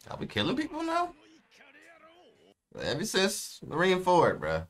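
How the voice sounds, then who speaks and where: A man's voice: high-pitched, wildly swooping pitch, measured pace, a bit bright. A young man in casual reaction chat as a show host, talking in slang with plenty of bro and man. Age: young adult. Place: small room indoors, seated.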